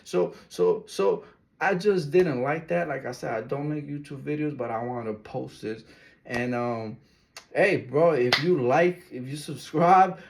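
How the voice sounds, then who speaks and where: A man's voice: mid-range voice, unhurried, vocal fry, darkish tone, a little hiss or hum. A man from Ecuador giving casual, emphatic reaction commentary, repeating his key words and using loose street slang. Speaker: video reactor.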